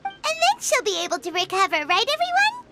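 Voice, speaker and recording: A woman voicing a small, childlike fairy companion in a video game, squeaky, perky and earnest. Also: huge pitch swings, a little fast, exaggerated voice. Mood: earnest, hopeful. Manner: perky, exclamatory. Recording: loud game music over the voice.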